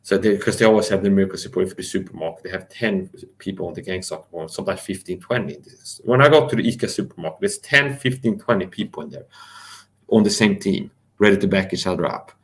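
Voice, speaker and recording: A man's voice: deep voice, fast. A man ranting angrily on a livestream, defiant and profane, talking straight at his listeners. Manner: angry, defiant, profane, repetitive; addresses listeners directly and challenges them. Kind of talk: ranting live monologue.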